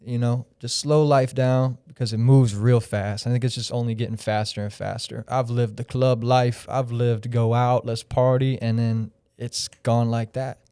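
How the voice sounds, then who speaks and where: Mellow, smooth-voiced man in his thirties. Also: mid-range voice, level tone, moderate pace, few pauses, dry recording, studio quality. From Florida. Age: 30s. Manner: rambling, reflective, freewheeling. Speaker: podcast guest. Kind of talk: casual podcast chat.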